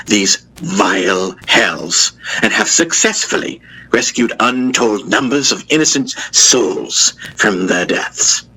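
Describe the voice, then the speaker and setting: A man acts out a solemn queen addressing war-weary people in an audio drama. The delivery is slow and formal with heavy pauses, and the tone is strikingly bright and forceful. Some noise and low rumble sit behind the voice. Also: poor audio. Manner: deliberate, oratorical, with heavy pauses.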